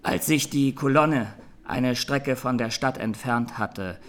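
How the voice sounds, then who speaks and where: An actor playing a haunted young Armenian defendant giving grave, deliberate testimony in a courtroom radio drama. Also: male voice, mid-range voice, fairly bright tone. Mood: anguished.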